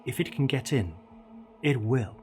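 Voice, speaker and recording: A man's voice: medium pitch, animated. Ominous, dramatically swooping male horror narrator. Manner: theatrical, ominous, deliberate emphasis, dropping to hushed tones. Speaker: horror storyteller, show host. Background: dark ambient music.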